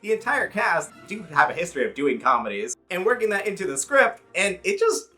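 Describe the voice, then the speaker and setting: A man in his thirties giving a casual, comedic movie review, rambling excitedly with swearing and jokey asides. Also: sits high, brisk, soft background music. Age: 30s.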